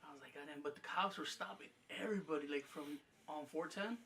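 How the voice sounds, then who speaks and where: A young man hosting a casual podcast chat, with a high-pitched voice, mumbling a little and often laughing as he tells stories. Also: fast talker. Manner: mumbling, laughing, animated storyteller. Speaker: podcast host.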